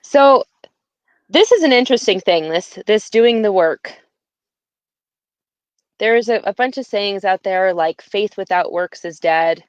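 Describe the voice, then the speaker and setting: A woman's voice: medium pitch, expressive, unhurried, pauses often, smooth, no room sound, dull audio. A woman hosting a spiritual conversation, speaking with enthusiasm and emphasis. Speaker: podcast host.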